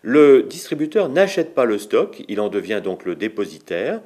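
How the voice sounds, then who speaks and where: Man expert explainer, lively pitch, rhetorical questions, some hesitations. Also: moderate pace. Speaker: expert explaining a business term. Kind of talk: explanatory segment.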